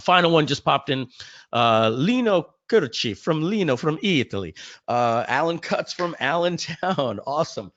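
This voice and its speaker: A man with a slightly hoarse voice, chatting informally and directly to his audience as he hosts a live online webinar. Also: a little high, big pitch swings, unhurried, a bit bright, a little hiss or hum. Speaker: company founder hosting a webinar. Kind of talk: casual live webinar chat. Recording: muffled, close dry webinar audio.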